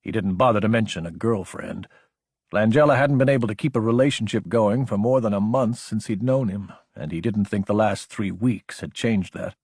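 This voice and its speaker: A man's voice: lowish voice, moderate pace, a little mellow, husky, dry recording, studio quality. A man reading scripted fiction as an audiobook narrator.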